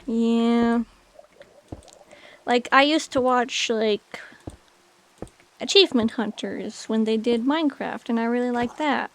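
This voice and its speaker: A chatty woman in her late twenties talks with her stream audience while playing a game. Her voice sits a little high, and she rambles loosely with plenty of fillers. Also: slow pace, long pauses. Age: 20s. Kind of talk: casual chat with chat while gaming.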